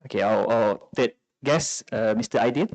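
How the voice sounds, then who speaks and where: A man moderating a forum panel and introducing speakers in halting, non-native English. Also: mid-range voice, darkish tone, no echo.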